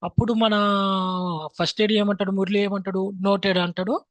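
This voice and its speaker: A man with a high voice and a Telangana accent explains things like an informal teacher. He goes back over key points and drops English phrases into his sentences. The sound is dry, with very little room in it. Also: little pitch movement, fairly quick, no room sound. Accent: Telangana Telugu. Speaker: filmmaking instructor.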